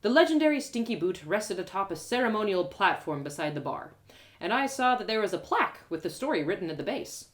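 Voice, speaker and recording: Animated woman reading a story aloud. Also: mid-range voice. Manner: expressive, dramatic pauses. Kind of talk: animated first-person fantasy storytelling, live reading.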